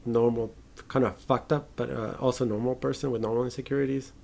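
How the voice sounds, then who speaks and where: A man hosting a casual film discussion podcast. His voice is mid-pitched with a good deal of fry and gravel. He speaks haltingly and informally, swearing now and then as he thinks aloud. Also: steady tone, warm tone.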